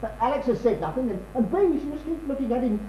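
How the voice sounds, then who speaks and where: A man's voice: extremely high pitch, lively intonation, fast, mellow. A man acting an irritable, harried husband hosting a dinner party in an amateur stage comedy. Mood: exasperated. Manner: urgent, exasperated. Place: small theatre stage. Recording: echoey, distant stage recording with hum.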